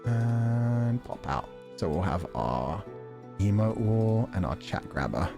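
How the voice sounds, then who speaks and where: A man's voice: lowish voice, very animated, takes it slowly, creaky, over music. A man livestreaming and chatting casually with viewers in a wry, sarcastic way, swearing now and then.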